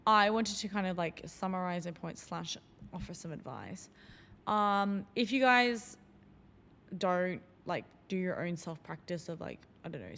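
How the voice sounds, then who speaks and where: A woman hosting a solo podcast, her voice sitting slightly low for a woman. She talks in a loose, reflective way, sprinkling in "like" and "um". Her voice rings with a long reverb tail. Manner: reflective, loose, many fillers like "like" and "um". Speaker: podcast host.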